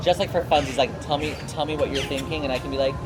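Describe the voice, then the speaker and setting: A man co-hosting a theme park review in a casual, halting, hedging way. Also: mid-range voice, steady tone. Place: outdoors in a busy shopping district. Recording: loud music behind the voice.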